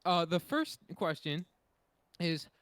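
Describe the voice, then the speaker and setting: Male podcast co-host, slightly high pitch, casual delivery, dry close sound. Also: very animated, takes it slowly, fairly bright tone, dry recording. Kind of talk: casual group podcast chat.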